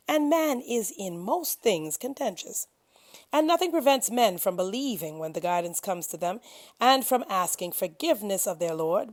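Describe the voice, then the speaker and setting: A woman giving an expressive, solemn and dramatic reading of translated scripture, with emphatic phrasing. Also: medium pitch. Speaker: scripture reader.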